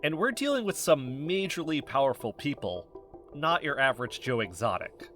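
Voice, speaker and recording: An actor playing an eager, suspicious amateur investigator in a podcast drama. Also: male voice, medium pitch, very animated, moderate pace, a bit bright, faint music behind. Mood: insistent. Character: eager, conspiracy-minded, nervous male amateur podcast investigator. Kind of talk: audio drama podcast dialogue.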